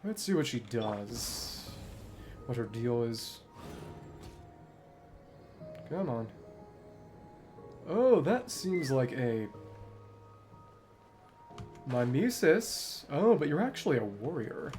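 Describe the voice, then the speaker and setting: A man streams a video game with casual running commentary. His mid-pitched voice swings up and down in a lively way as he thinks aloud, with music playing behind him. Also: slow pace, pauses often. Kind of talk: casual let's-play commentary.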